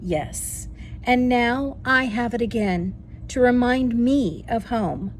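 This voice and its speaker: A woman voicing a tender, nostalgic elderly great aunt in an expressive children's storybook reading. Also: fairly high pitch, takes it slowly, slight background noise. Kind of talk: children's storybook read-aloud.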